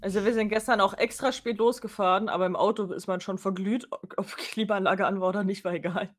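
Female co-host, average pitch, relaxed conversational podcast talk, informal phrasing, musing as she goes. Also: fast. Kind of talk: casual chat.

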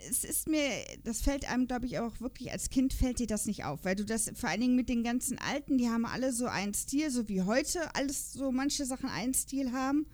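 A woman's voice: a little high, expressive, a little fast, few pauses, darkish tone. A woman podcast host chatting casually in a talkative, rambling way. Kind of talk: casual chat.

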